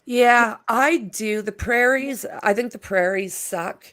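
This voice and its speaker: A woman's voice: a little high, slow pace. Chatty woman hosting a casual livestream. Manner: rambling, relaxed and chatty, with frequent fillers. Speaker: livestream host. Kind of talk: casual chat.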